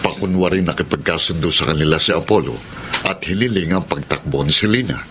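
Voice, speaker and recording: A man's voice: sits low, a little fast, fairly bright tone, a little hiss or hum. A man playing a saddened confidant in a Filipino radio drama, reasoning soberly and with regret. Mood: sober, regretful. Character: sober, saddened male confidant, explaining gently.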